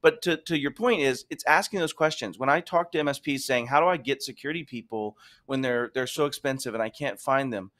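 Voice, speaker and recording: A man's voice: mid-range voice, fairly quick, few pauses, fairly bright tone. A man hosting a casual chat, talking in an enthusiastic, rambling way. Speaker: podcast host.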